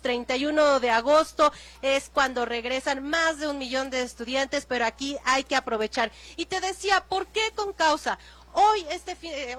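Field reporter, female, high and upbeat, on location outdoors. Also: a bit bright. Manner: upbeat, promotional. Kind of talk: live on-location news report. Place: outdoor amusement park.

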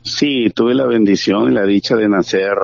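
A Mexican man in his thirties with a lowish voice speaks earnestly about his faith and often restarts his phrases. The sound is dull and lo-fi, and faint music plays under him. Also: normal speed, vocal fry. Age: 30s. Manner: earnest, many fillers and restarts. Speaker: interview guest. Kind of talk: church testimony interview.

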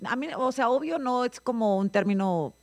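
A woman, chatting casually and asking many curious questions with quick reactions. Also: fairly high pitch, expressive. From Mexico. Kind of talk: casual chat.

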